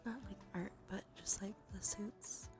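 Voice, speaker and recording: A woman livestream host speaking in a soft, breathy, often whispered voice, murmuring casually and unhurriedly to viewers in an ASMR tarot style. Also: mid-range voice, steady tone, measured pace, music in the background. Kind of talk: ASMR tarot reading stream.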